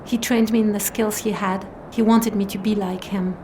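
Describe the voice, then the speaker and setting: An earnest young woman played by an actress with a medium-pitched voice and a blunt, serious delivery. She sounds like a guarded audio drama adventurer, with clear ambient noise around her. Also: steady tone, moderate pace, darkish tone, natural voice, rough recording. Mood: self-critical, guarded.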